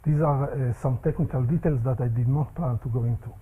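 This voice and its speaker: A man giving a technical seminar lecture in a seminar room, with a soft voice, halting speech and many fillers. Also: mid-range voice, husky, phone-quality sound.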